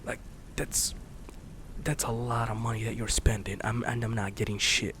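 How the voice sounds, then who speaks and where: A man in his late twenties, a streamer rambling in a soft-spoken, candid way, swearing casually and slipping into whispers. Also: mid-range voice, flat delivery, normal speed, noisy background. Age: 20s. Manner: candid, swears casually, many fillers and restarts; drifts between soft speech and whisper.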